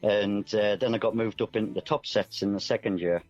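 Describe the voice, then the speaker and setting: Middle-aged male interview guest, low pitch, modest and reflective, casual chat over clear background music. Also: steady tone, brisk, a little mellow, dull audio. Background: music playing underneath.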